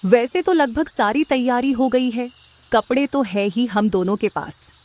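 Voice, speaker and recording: A woman's voice: fairly high pitch, a little slow, darkish tone, close to an everyday voice. A woman playing a merchant's wife in a cartoon, questioning and placating, with faint noise behind. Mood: curious, agreeable. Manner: questioning, protesting. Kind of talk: Hindi cartoon story voice acting.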